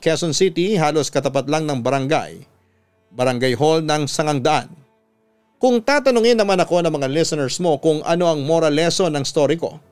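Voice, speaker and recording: A Filipino man hosting a radio story program, reading scripted listener letters and giving advice in an earnest, measured way. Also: fairly high pitch, clean tone. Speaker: radio host and story narrator. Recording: music under the voice. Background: background music.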